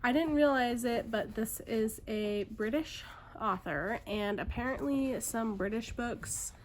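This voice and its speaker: A woman's voice: fairly high pitch, measured pace, a little hiss or hum, rough recording. A woman book vlogger talking casually and reading book blurbs aloud, a little congested in parts. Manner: chatty, upbeat, filler-heavy.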